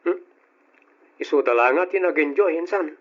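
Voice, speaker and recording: Man acting meek, higher-voiced Ilocano nephew. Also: normal speed, lightly acted. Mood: puzzled. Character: meek, weary, put-upon young nephew. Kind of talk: radio drama acting. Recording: muffled, noisy old radio drama.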